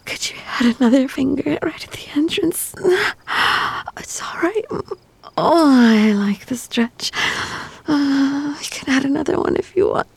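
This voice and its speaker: A woman speaking in a breathy whisper broken by moans and gasps, in an intimate erotic roleplay addressed to a partner. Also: sits high, unhurried, a little mellow.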